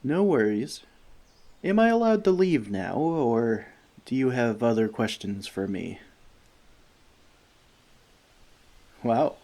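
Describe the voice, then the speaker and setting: A man playing a friendly forest elf in an ASMR roleplay, talking to the listener with dry, gentle teasing. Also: medium pitch, expressive, unhurried. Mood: playful, teasing.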